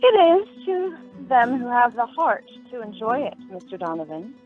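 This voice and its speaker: A woman's voice: on the high side, big pitch swings, moderate pace. An actress playing a prim, mournful young lady in a radio play, formal and melodramatic in manner. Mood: prim, demure. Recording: tinny phone line with music behind.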